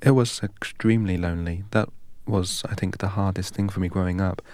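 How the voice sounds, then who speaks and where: A man from England, a guest in an interview, telling his story in a measured, deliberate way. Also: low-pitched, fairly even intonation, a little mellow. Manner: measured and deliberate, recites long strings of numbers evenly.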